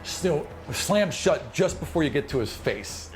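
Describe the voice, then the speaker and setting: A man improvising colloquial, animated narration as game master of a tabletop fantasy game. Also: on the high side, measured pace. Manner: animated, graphic, colloquial storytelling. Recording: noisy room recording. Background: clearly audible background noise.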